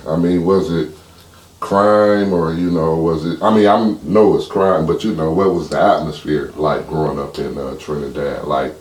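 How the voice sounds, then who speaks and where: A man's voice: very deep voice, roomy sound. A man with an American accent, casually interviewing as a podcast host.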